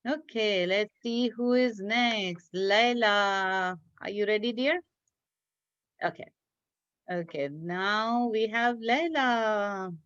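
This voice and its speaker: A woman's voice: medium pitch, slow pace, fairly bright tone, clean tone. A woman teaching a children's craft class over a video call, speaking in an encouraging way and praising her young pupils. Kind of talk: interactive online children's lesson. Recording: dull lo-fi online call, echoey room.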